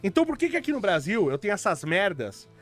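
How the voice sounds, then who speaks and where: A man's voice: high voice, big pitch swings, fast talker, a bit bright, faint music behind. A man in casual podcast chat, explaining his views bluntly and argumentatively. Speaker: podcast co-host.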